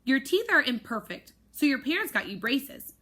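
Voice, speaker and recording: A woman's voice: high-pitched, sing-song, normal speed, pushed and bright, slightly rough, pitched above the performer's own voice, heavily acted. A woman teacher voicing a stern, tall woman who scolds a girl, read aloud from a storybook.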